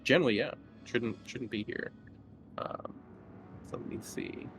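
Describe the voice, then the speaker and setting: A man narrating a tabletop horror game as game master in a halting, conversational way. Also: mid-range voice, a bit bright, soft background music.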